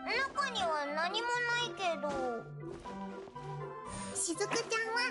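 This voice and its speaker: A woman's voice: very high voice, expressive, slow pace, exaggerated voice. A woman voicing a tiny, cheeky, boastful childlike fairy in an anime game, with cutesy, boyish, exclamatory phrasing. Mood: excited. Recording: very loud game music behind.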